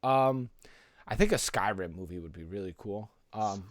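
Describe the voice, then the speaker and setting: A man's voice: fairly low pitch, lively intonation, moderate pace, no echo. Casual man chatting, close dry sound. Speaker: podcast co-host. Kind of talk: casual pop culture podcast chat.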